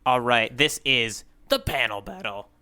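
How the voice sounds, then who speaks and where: A man with a sharp, very bright voice at an average pitch co-hosts a casual podcast. He chats playfully and slips into mock-formal asides for comic effect. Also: big pitch swings, unhurried. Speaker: podcast co-host.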